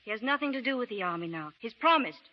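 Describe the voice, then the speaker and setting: A woman's voice: fairly high pitch, expressive, quick pace, lightly acted. A woman playing a fretful young wife. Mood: pleading. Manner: pleading. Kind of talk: 1930s radio drama acting. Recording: dull old radio recording.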